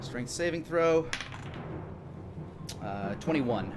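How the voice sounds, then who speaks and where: A man's voice: on the high side, lively intonation, very slow. Man running a tabletop game over music. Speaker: game master. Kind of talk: improvised tabletop game narration. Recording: music playing behind the voice.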